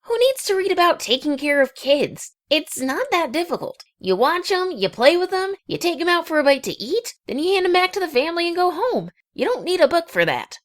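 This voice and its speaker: A woman's voice: very high-pitched, big pitch swings, a little fast, few pauses. A woman voicing a brash, impatient young female pony in a storybook, with a casual, blunt manner. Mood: bored, dismissive. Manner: casual, dismissive, slangy. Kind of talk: audio storybook character acting.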